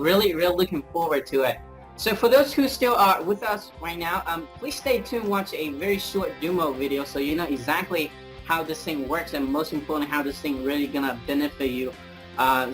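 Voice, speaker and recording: A man's voice: a little high, fairly bright tone, rough recording. A man hosting an interview, agreeable and encouraging, with short affirmations and fillers. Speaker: host, interviewer. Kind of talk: casual interview.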